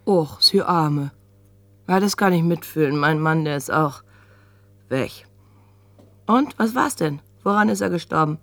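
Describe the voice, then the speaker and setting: Brusque, gravelly female kiosk keeper. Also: low voice, expressive, measured pace, long pauses, a bit bright, dry recording, full character voice. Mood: curious sympathy. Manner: blunt, colloquial, prying, muttering to herself. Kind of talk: German radio drama acting.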